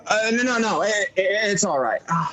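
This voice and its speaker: A man playing a troubled, despairing family man in an amateur radio play, halting and stammering. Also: high voice, animated, poor audio. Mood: slurred, despairing. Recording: loud crowd noise behind.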